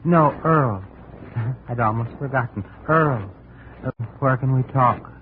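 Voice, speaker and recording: A man's voice: medium pitch, slow, close to an everyday voice. An actor playing an evasive, wry man on the run in a casual, hesitant manner, in 1950s radio drama style. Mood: resigned. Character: evasive male fugitive, wry and resigned. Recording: faintly noisy, tinny old radio recording.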